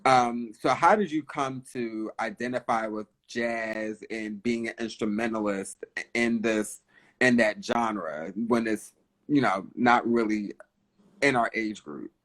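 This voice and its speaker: A man in his early thirties hosting a casual interview, with halting phrasing and many restarts. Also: on the low side, a bit bright. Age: 30s. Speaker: interviewer, host.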